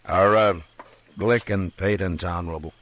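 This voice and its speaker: An actor playing a steady, authoritative Old West marshal with an American accent and terse, measured delivery in a radio western. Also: male voice, sits low. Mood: calm, probing. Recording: noisy vintage radio drama recording.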